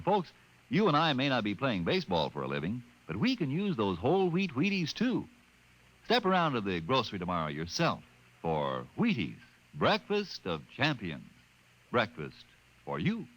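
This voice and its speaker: A man's voice: mid-range voice, wildly swooping pitch, normal speed, fairly bright tone, low-quality recording. A man announcing a sponsor's message in 1950s radio advertising style.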